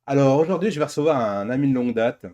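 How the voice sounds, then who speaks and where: A man hosts a relaxed interview show in a chatty, familiar register. He teases his guest like an old friend and keeps the exchange conversational, with a mid-pitched voice. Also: fast talker. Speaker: show host.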